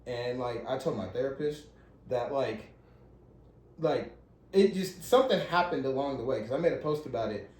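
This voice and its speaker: A man's voice: mid-range voice, normal speed, a little mellow, roomy sound. A man in his thirties chatting casually as a podcast co-host, in an earnest, slangy, repetitive way. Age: 30s. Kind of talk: casual chat.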